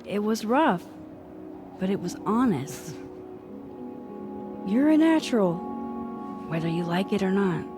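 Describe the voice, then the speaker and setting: An actress playing a friendly, wry photography teacher in a naturalistic video game style, gently teasing and warm. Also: female voice, mid-range voice, very animated, takes it slowly, long pauses, over music. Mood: supportive.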